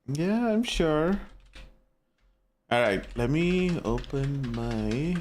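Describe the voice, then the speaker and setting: A young man with an Indian accent talks to his stream chat in a loose, casual way and swears easily. His voice sits at a middle pitch but jumps around a lot as he reacts. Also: animated, extremely slow, darkish tone, a little hiss or hum.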